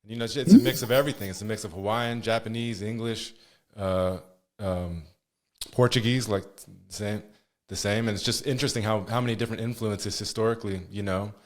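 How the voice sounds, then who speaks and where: A man hosts a podcast in a relaxed conversational style. His voice is on the low side and he talks quickly, reflecting and asking questions. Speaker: podcast host. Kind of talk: casual interview chat.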